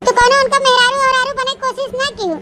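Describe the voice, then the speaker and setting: A woman's voice: very high voice, flat delivery, quick pace, sharp tone, soft background music. A woman with an Awadhi accent voicing a bossy, spiteful senior wife who scolds and taunts in a comic cartoon. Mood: domineering. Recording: noisy, hissy voice track.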